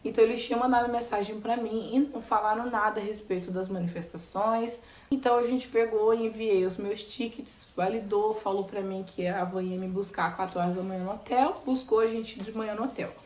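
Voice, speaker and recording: A woman's voice: mid-range voice, fairly even intonation, a little fast, few pauses, a little mellow. A Brazilian woman travel vlogger who is losing her voice from sinusitis, talking casually and explaining things step by step, often adding "né?". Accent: Brazilian Portuguese. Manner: chatty, explains step by step with many "né?". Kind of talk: casual travel vlog talk. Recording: echoey room with faint ambient noise.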